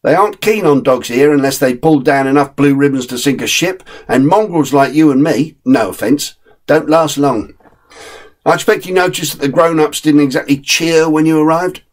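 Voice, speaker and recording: A man's voice: fairly high pitch, normal speed, pitched above the performer's own voice, natural voice. A man voicing a friendly, streetwise male terrier who gives blunt, knowing advice.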